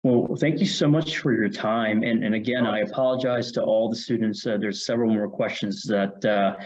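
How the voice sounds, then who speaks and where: A man's voice: fairly low pitch, steady tone, moderate pace, darkish tone. Upbeat male moderator on a video call. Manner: upbeat, thankful, stumbling restarts. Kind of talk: moderating a student Q&A. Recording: dull lo-fi video call audio.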